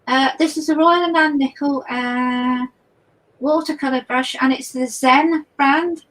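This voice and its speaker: Hoarse woman guest artist, high-pitched, casual and halting as she paints. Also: steady tone, fairly bright tone, low-quality recording. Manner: chatty, halting, repeats words. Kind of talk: casual chat while painting live.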